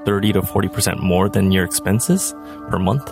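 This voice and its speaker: A man's voice: deep voice, normal speed, gravelly, over music. A man of thirty-five giving short answers as an interviewed member of the public. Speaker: poll respondent.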